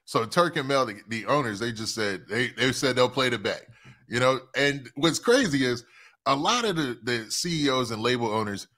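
Upbeat male interviewer with a bright, sharp tone, keen and affirming. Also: mid-range voice, lively intonation, normal speed. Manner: eager, affirming, asks leading questions. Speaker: interview host. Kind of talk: casual interview chat.

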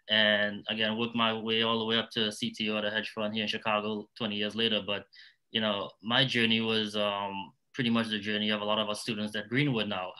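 Middle-aged Trinidadian man, panelist. Low-pitched, forceful bright tone, talking from experience. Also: low-quality recording. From Trinidad and Tobago. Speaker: nonprofit founder, panelist. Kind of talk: panel discussion talk, personal stories.

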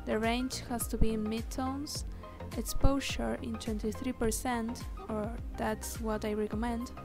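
A woman with a Spanish accent, whose first language is Spanish, talking through a software tutorial step by step with hesitant fillers. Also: fairly high pitch, flat delivery. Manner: slow, careful, step-by-step instructions with hesitant fillers. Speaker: tutorial maker. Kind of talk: screen-recorded software tutorial. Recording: voice-over with music playing underneath.

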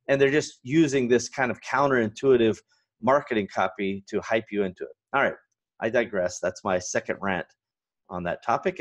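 A man in his forties hosting a solo business podcast from a home office, his voice hoarse and raspy, talking with energy and going off on rants. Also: medium pitch. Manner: energetic, ranting, fillers and restarts. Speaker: podcast host.

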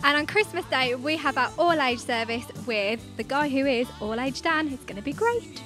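A young woman reads out the church's events in a high voice. She is cheerful and chatty, speaking into a handheld mic. Faint music plays behind her. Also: animated, moderate pace, a bit bright. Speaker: church news presenter. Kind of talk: scripted church announcements. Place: studio in front of a green screen.

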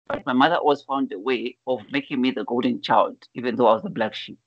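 A man's voice: mid-range voice, steady tone, fast, dry recording. A man in his forties from Zambia, a life coach telling his life story in an interview over a video call, earnestly and with many restarts. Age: 40s. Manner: earnest storyteller, repeats words when starting a thought. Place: indoor room at home. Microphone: video call, wired earphones. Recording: dull lo-fi video call audio.

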